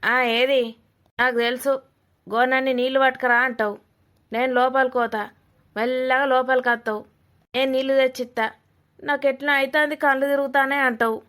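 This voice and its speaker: A woman's voice: sits high, pushed and bright. A woman voicing a suspicious, scolding old village woman in a cartoon comedy, with a Telangana accent, blunt and mocking. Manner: blunt, mocking, rambling accusations.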